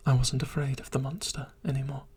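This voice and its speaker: A narrator reads a dark tale almost entirely in a whisper, steady and unhurried. The voice is very breathy and airy at a middle pitch. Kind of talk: whispered story reading.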